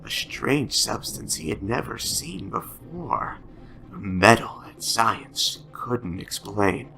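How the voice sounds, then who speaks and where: Slow, hissing, sinister madman. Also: fairly low pitch, expressive, vocal fry, sharp tone, heavily acted, poor audio. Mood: ominous storytelling. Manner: slow, theatrical, teasing with drawn-out words. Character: sinister, taunting, lonely madman lurking in darkness. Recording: background music under the voice.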